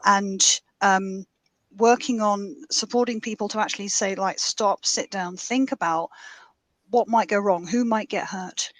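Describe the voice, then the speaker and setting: A woman on a conference panel, explaining her points briskly and with emphasis. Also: medium pitch, steady tone, fairly bright tone, no echo. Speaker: panelist, data lead.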